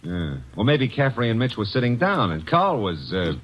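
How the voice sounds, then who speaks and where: This is a brash, wisecracking young sidekick in a vintage radio drama. His delivery is lively and swings up and down, and the voice comes through a muffled old recording. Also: mid-range voice, moderate pace. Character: wisecracking young male assistant detective. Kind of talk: 1940s radio drama acting.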